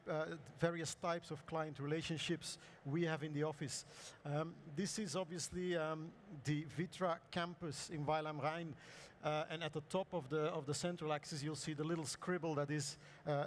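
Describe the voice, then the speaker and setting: Hoarse male presenter, slightly high voice, hesitant delivery, faint noise behind. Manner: hesitant, many ums and uhs, clears throat. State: hoarse, lost voice. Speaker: architect presenting. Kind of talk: conference presentation. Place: conference hall.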